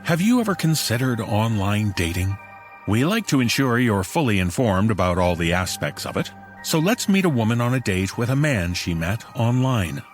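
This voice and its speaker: A man with a fairly low voice presents a horror anthology. His scripted delivery is measured and theatrical, with faint music beneath. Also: very animated. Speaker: host and narrator of horror anthology.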